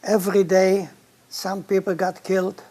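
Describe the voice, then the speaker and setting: A man's voice: high voice, flat delivery, moderate pace. An elderly man from Poland, recalling his life in short, blunt sentences as the grandfather in a family interview. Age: older. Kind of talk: plain spoken recollection.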